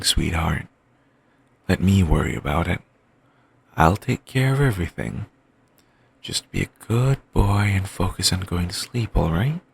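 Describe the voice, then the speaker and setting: A young man voicing an obsessive, doting boyfriend in an ASMR audio roleplay, murmuring soft, soothing, controlling reassurances. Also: creaky, husky, heavily acted. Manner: coaxing, endlessly affectionate, quietly controlling. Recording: home mic, low-quality.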